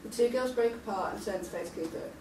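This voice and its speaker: A woman's voice: medium pitch, quick pace, mellow. A woman reading stage directions as scripted narration at a table read, recorded from a distance in a noisy, echoey room.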